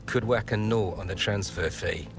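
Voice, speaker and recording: A man's voice: fairly low pitch, moderate pace, lightly acted. A man playing a persuasive, pushy football agent in a video game cutscene, with music behind. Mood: pressing. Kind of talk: cinematic game cutscene acting.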